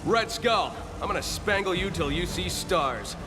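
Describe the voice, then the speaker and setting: A man's voice: high voice, expressive, measured pace. A man voicing an earnest, cheeky young superhero in a video game cutscene. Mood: eager, bold. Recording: loud music behind the voice.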